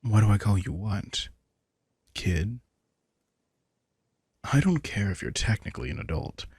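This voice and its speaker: A man's voice: fairly low pitch, big pitch swings, measured pace, a little mellow, slightly rough, no room sound, clean studio sound, exaggerated voice. A man playing a centuries-old vampire in an intimate audio drama, speaking casually and dryly, sardonic and teasing yet protective, with some swearing. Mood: protective, condescending. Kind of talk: intimate roleplay audio drama, conversational acting.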